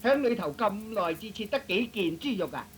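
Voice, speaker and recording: A man's voice: sits high, expressive, brisk, voice pushed up. A man voicing a bossy, impatient shopkeeper's wife who nags and scolds in a Cantonese comic radio play. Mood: scolding, impatient. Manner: scolding, exasperated, naggy. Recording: noisy old radio recording.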